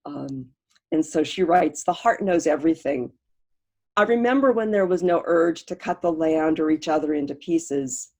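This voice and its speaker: Low-voiced woman, earnest and soft-trailing. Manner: earnest, many restarts, trailing off softly. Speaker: panelist, professor. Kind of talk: reflective panel talk on a video call. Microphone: video call.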